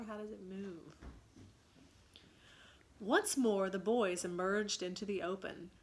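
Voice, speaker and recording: A woman teacher reading a children's story aloud with dramatic expression and pausing to ask questions. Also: mid-range voice, a bit bright, a little hiss or hum.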